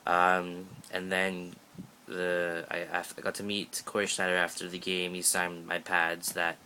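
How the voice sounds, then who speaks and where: Low-voiced teen guy chatting on his phone. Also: completely flat delivery, a little slow, bright, forceful tone, a little hiss or hum. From Canada. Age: teens. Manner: rambling, chatty, jokey, many fillers and restarts. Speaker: vlogger. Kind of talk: casual update vlog. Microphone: phone held up at arm's length.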